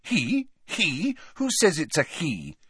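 A man's voice: on the high side, wildly swooping pitch, slow, a bit bright, husky, dry recording, studio quality, pitched above the performer's own voice, exaggerated voice. A man voicing a bossy, earnest teenage girl in an audiobook, insistent and emphatic in how she argues. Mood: argumentative.

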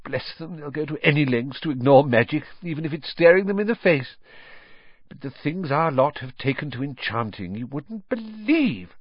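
A weary, gentle father of middle age as a man narrating a storybook acts him. He is sheepish and absent-minded, hesitating and mumbling. His pitch wanders widely, and the sound is dry and a little dull. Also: big pitch swings, moderate pace, breathy edge, dry recording. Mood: musing.

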